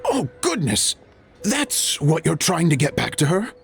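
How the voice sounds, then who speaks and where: A man's voice: on the high side, huge pitch swings, unhurried, vocal fry, slight background noise, heavily acted. A man playing a fussy, superstitious gossip in a video game, speaking in an effusive, dramatic manner. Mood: alarmed gossip. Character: fussy, theatrical, superstitious male opera-loving gossip.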